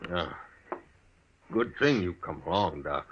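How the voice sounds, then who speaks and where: An actor playing a vengeful, possessive frontier husband with grim, rustic speech in a western radio drama. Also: male voice, deep voice, lively intonation, slow, fairly bright tone, muffled recording, a little hiss or hum, heavily acted. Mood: grim, brooding.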